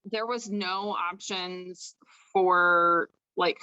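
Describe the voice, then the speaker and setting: A woman with a Chicago accent chats as an interview guest, full of "like" and "um" and quick to laugh. Her voice sits slightly low but sounds bright and lively. The sound is dry and close, with almost no room echo. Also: clear, resonant tone, muffled recording. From Chicago suburbs. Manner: chatty, lots of "like" and "um", laughs easily. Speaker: guest, makeup artist. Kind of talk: casual interview chat. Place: home with kids nearby.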